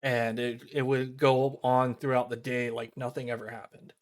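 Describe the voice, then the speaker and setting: A man giving casual commentary on a visual novel playthrough, explaining things in a rambling, halting way. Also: medium pitch, flat delivery. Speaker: game streamer. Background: game music.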